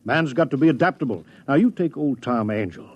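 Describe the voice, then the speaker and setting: A man's voice: mid-range voice, lively intonation, a little fast, breathy edge, highs cut off. A man playing a jovial, opinionated older father-in-law and politician, folksy and speechifying, in a 1950s radio drama. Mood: pontificating.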